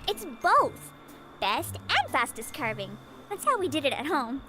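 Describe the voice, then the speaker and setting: A woman's voice: sits high, wildly swooping pitch, unhurried, pushed and bright, full character voice. A woman acting a cheerful, competitive young girl in an anime-style visual novel game. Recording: background music under the voice.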